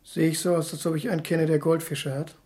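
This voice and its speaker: An actor playing a bald, hard-eyed, curt and dismissive bartender in a noir radio drama. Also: male voice, on the high side, level tone, fairly quick, darkish tone, close to an everyday voice.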